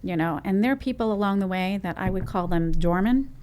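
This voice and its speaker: A woman from Trinidad and Tobago, a business owner, telling her story in a lively, rambling way as an interview guest. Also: mid-range voice, brisk. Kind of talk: conversational interview.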